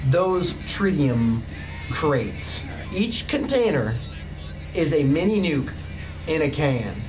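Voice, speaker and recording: A man running a tabletop roleplaying game, narrating scenes casually with fillers and bursts of laughing excitement. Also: on the high side, poor audio. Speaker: game master. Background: music.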